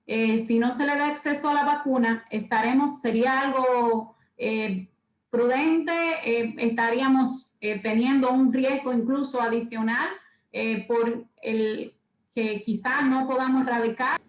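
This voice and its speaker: A Dominican woman on a television panel, speaking off the cuff and haltingly as she searches for words. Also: fairly high pitch, steady tone. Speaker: panelist and host. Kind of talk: unscripted TV panel discussion. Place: TV studio. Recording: echoey TV studio.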